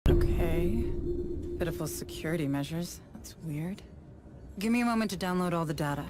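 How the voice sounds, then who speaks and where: A woman's voice: on the low side, expressive, moderate pace, noisy background, lightly acted. A woman playing a determined, worried young heroine in a sci-fi video game, speaking with earnest urgency. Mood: focused, uneasy. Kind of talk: dramatic video game acting. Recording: loud music behind.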